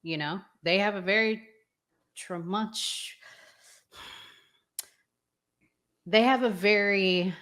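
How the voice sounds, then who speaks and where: A woman's voice: medium pitch, slow, pauses often, a bit bright. A middle-aged woman hosting a casual, conversational talk about relationships. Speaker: talk show host.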